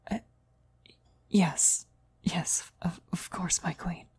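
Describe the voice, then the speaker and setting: A woman's voice: fairly low pitch, steady tone, takes it slowly, a little mellow, breathy edge, no echo, heavily acted. A woman playing a fawning female cultist devoted to her demon queen, deferential and half-whispering, in an ASMR roleplay. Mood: meek, eager.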